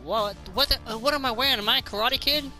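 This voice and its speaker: A young man chatting casually as he plays a co-op survival game, joking and slangy, with excitable delivery. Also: very high-pitched, quick pace, soft background music. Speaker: gamer, video host. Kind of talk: casual chat while playing a co-op survival game.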